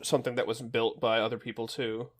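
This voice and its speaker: A man's voice: medium pitch, little pitch movement, brisk. A man co-hosting a casual podcast, with a crude, sweary, joking manner. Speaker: podcast co-host. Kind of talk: casual chat.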